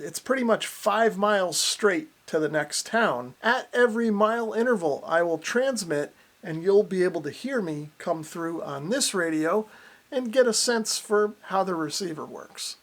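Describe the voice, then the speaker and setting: A man giving a casual, plain-spoken product review and demonstration, explaining things as he goes. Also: sits high. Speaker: radio reviewer and host.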